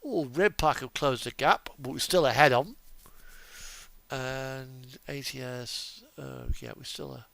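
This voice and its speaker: A man's voice: medium pitch, a little slow, creaky, fairly bright tone, no room sound. A man giving casual, chatty game commentary as a streamer, hesitant and often trailing off mid-thought. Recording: music playing behind the voice. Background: music, truck engine sounds from game.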